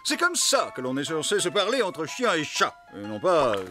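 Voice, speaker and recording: A man's voice: on the high side, huge pitch swings, moderate pace, over loud music. A man voicing a pompous, lecturing male pet animal in a French cartoon dub. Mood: condescending.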